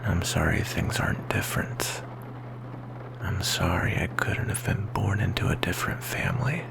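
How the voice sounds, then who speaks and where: Man as a low, whispering, melancholy vampire boyfriend. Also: steady tone. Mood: wistful, apologetic. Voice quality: whispery, gravelly. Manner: soft, hesitant, intimate, slow with pauses. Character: melancholy, insecure, devoted young male vampire boyfriend. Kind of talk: ASMR roleplay.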